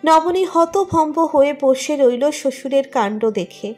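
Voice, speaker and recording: Woman narrating a romance story, high voice, music playing behind. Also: animated, unhurried, a little mellow. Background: music under the narration.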